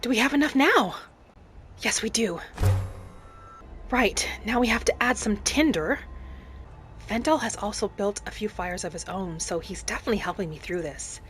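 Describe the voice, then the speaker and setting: A woman playing a gentle, earnest female wood-elf adventurer, musing aloud as she narrates a roleplay game in character. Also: medium pitch, expressive, normal speed, long pauses, slight background noise, close to an everyday voice. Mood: thoughtful.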